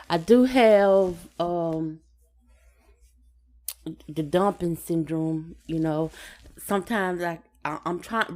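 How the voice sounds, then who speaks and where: Woman, low-pitched voice, podcast co-host, casual personal talk, hesitant, repeats phrases.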